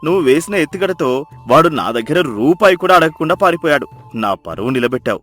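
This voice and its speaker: A man voicing a meek, grateful village husband in an animated moral story, earnest and admiring. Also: fairly high pitch, brisk, faint music behind. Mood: relieved, admiring. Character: meek, grateful village husband praising his clever wife.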